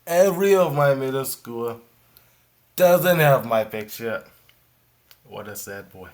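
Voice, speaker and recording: Guy doing casual reaction comments, normal mid pitch, off the cuff. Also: expressive, slow pace.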